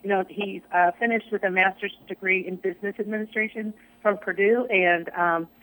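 A woman's voice: medium pitch, steady tone, normal speed, a bit bright, low-quality recording. A woman, a college chancellor, talking casually on a radio show with lots of ums while losing her voice. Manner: upbeat, many fillers.

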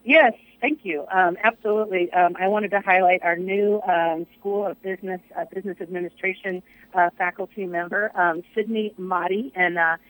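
A woman's voice: lowish voice, steady tone, normal speed, no echo, low-quality recording. Upbeat woman chancellor losing her voice. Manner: upbeat, many fillers. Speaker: community college chancellor. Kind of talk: casual radio interview.

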